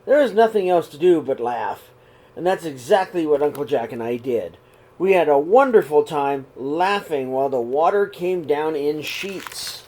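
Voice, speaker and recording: A middle-aged man reading a children's picture book aloud in his living room, then talking warmly to young listeners, with a gentle, unhurried pace and the odd throat clear. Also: a little high, expressive. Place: living room, seated on a sofa before curtains. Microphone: webcam or laptop camera at close range.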